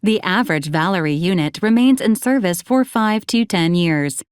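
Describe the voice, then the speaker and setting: A woman's voice: lowish voice, expressive, normal speed, clean tone, dry recording, studio quality, close to an everyday voice. A woman playing a polite, literal robot assistant unit in a video game, speaking formally and precisely with clinical detachment. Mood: formal, detached. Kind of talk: scripted video game character lines.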